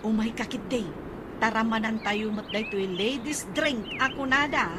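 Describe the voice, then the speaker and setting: A woman's voice: fairly high pitch, fairly even intonation, normal speed, noisy background, close to an everyday voice. A woman with an Ilocano accent playing a bossy, talkative woman in a radio comedy drama. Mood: chatty.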